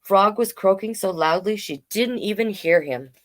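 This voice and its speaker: A woman's voice: mid-range voice, moderate pace. A woman hosting a storytime, reading picture books aloud in an informal way with chatty asides. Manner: informal, interjects comments while reading. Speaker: drag king storyteller, host.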